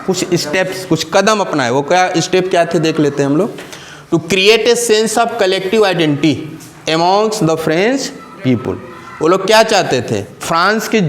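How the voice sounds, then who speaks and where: A male teacher lectures a classroom in a somewhat high voice. He poses questions and answers them himself, repeating key terms in English and Hindi. A faint hum sits under the recording.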